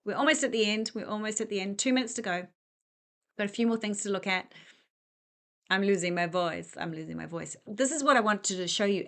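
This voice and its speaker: A woman's voice: mid-range voice, a bit bright. A middle-aged woman chatting and rambling as she walks through a discount store, self-deprecating and casual. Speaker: shopping vlogger. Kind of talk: casual shop walk-through vlog.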